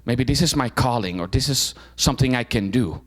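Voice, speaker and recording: A young man speaks to a club audience at a medium pitch. His talk is loose and personal, full of fillers and restarts. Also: expressive, fairly quick. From Netherlands. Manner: informal, frequent fillers and restarts. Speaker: guest speaker.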